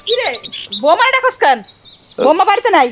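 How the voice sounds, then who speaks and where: An actress playing a sharp-tongued, mocking village mother-in-law, speaking. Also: very high-pitched, very animated, fairly quick, noisy background. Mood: scornful. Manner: mocking, dismissive.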